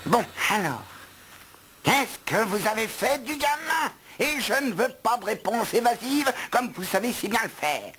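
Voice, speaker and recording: Theatrical grumpy house elf, swinging pitch. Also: male voice, big pitch swings, unhurried, pushed and bright, slightly rough, full character voice. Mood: impatient, instructive. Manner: theatrical, grumbling, wry. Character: grumpy gluttonous male house elf, reluctant guide. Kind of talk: animated film dub acting.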